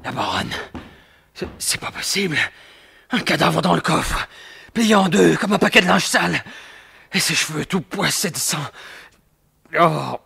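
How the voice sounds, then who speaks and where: Gentleman thief, husky voice, animated swings of pitch, cocky, wry, theatrical radio drama. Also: measured pace, full character voice. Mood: horrified. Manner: theatrical, talks to himself, colloquial. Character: cocky gentleman burglar, wry, self-mocking, quick-witted. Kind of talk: 1950s French radio drama acting.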